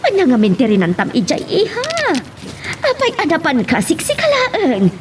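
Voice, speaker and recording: High female voice, acted, Filipino accent, nagging mother, accusing, very animated, audio drama. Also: normal speed, a little hiss or hum, heavily acted. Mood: angry, questioning. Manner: accusing, exclaiming. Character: scolding, suspicious mother and nagging wife. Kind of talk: Ilocano radio-style drama acting.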